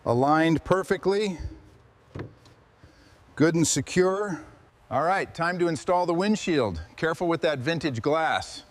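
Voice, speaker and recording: A man's voice: on the high side, expressive, measured pace, long pauses, a little hiss or hum. A man hosting a restoration video, giving hands-on workshop commentary.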